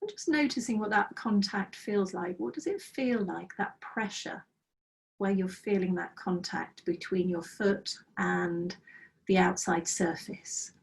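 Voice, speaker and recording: A middle-aged woman leading a guided mindfulness practice over a video call, speaking slowly and soothingly with gentle pauses, her voice slightly croaky. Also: mid-range voice, far from the mic. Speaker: mindfulness trainer. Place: small office with bookshelves, indoors. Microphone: laptop webcam on a video call.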